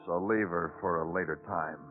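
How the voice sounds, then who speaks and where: An actor playing a hardened New York homicide detective in a 1950s radio crime drama, with brooding, clipped, literary delivery. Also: male voice, low-pitched, fairly even intonation, moderate pace, lightly acted. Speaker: detective narrator. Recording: tinny vintage radio recording with music.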